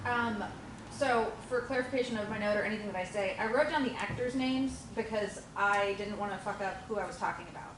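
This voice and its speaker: A woman gives frank notes on a script in a casual discussion, animated and swearing freely. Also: mid-range voice, brisk, background noise. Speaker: audience member giving notes. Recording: echoey room, distant mic.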